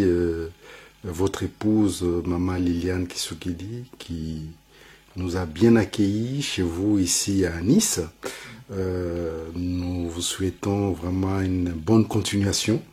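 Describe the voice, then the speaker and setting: A male interviewer with a lowish voice who talks slowly and haltingly, often pausing on fillers while he looks for his words. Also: steady tone, a little mellow. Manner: hesitant, halting, many fillers. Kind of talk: casual interview.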